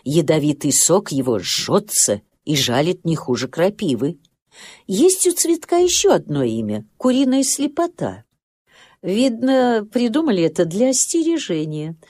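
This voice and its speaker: A woman storyteller narrating a scripted children's nature tale in an expressive, dramatic way. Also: lowish voice, measured pace. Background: music.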